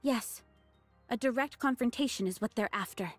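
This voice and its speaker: A woman's voice: on the high side, normal speed, no echo, close to an everyday voice. A woman voicing a confident android squad leader in an anime game, firm and decisive, with music behind her. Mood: commanding.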